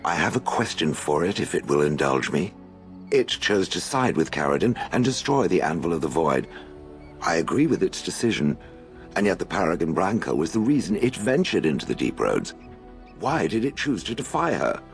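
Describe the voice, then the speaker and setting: An actor voicing an aloof stone golem in a fantasy game, speaking in formal, haughty, deliberate phrases. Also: male voice, deep voice, very animated, soft background music. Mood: aloof questioning. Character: towering stone golem, aloof, probing, calls people "it". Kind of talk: fantasy video game dialogue.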